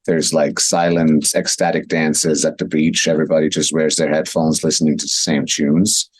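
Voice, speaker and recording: Very deep-voiced man, slightly husky. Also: flat delivery, normal speed, dry recording. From Switzerland. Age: 30s. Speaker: interview guest, wellness and movement coach. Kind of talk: casual conversation.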